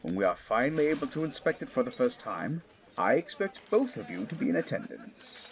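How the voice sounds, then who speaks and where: A man playing an animated, learned English archaeologist father. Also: mid-range voice, creaky, soft background music. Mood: businesslike, eager. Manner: formal, professorial, deliberate. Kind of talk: scripted audio drama acting.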